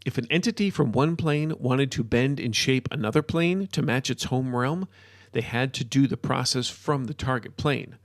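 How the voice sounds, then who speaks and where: A man's voice: mid-range voice, moderate pace, dry recording, clean studio sound. A man reading scripted fiction as a storyteller, narrating the action.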